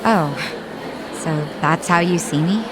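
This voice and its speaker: An actress voicing a spirited, candid, sassy young woman in an English-dubbed video game, with frank, expressive, casual banter. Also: on the low side, slow pace, very noisy. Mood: surprised, teasing.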